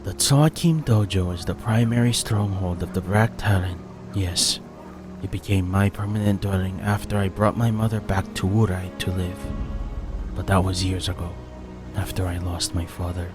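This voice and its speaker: A man voicing a grave, honor-bound ninja master in a fantasy audiobook, speaking formally and with measured solemnity. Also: low-pitched, fairly even intonation, music in the background, close to an everyday voice.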